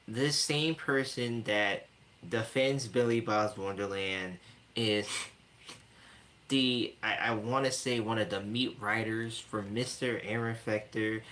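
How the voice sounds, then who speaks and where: A man's voice: lowish voice, fairly even intonation, takes it slowly, fairly bright tone. A man hosting a casual livestream, ranting with lots of repetition and stumbling restarts. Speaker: streamer host.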